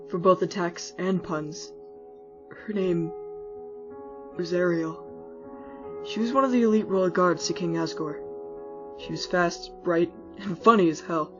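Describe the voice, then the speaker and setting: An actor plays a laid-back skeleton guy narrating a cartoon comic dub as a wistful older brother. He sounds casual and wry, with music clearly behind a slightly dull recording. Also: medium pitch, lightly acted. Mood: fond, nostalgic. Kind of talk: scripted first-person storytelling in a comic dub. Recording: muffled audio with music underneath.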